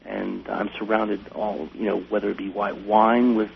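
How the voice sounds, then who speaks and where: A man's voice: lowish voice, level tone, normal speed, vocal fry, husky. An American man talking casually as an interview guest, telling stories in a hesitant way with repeated phrases. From Wisconsin, United States. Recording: dull lo-fi radio sound, light hum.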